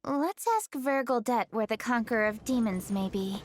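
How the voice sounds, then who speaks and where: A woman's voice: on the high side, moderate pace, a little hiss or hum, close to an everyday voice. A woman voicing a composed, dutiful young noblewoman in a video game, poised and earnest. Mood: thoughtful, composed.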